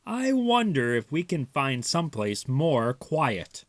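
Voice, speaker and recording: A man voicing a gentle, cautious father mouse from a storybook, musing in an expressive, deliberate way. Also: mid-range voice, no echo. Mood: caring, musing.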